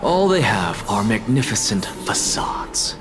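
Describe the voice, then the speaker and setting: A skeptical aloof scholar in a game, lowish and unhurried, dry sarcasm, music under it. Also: male voice, very animated. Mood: dry, sardonic. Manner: dry, measured, sardonic. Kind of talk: video game voice acting. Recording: background music.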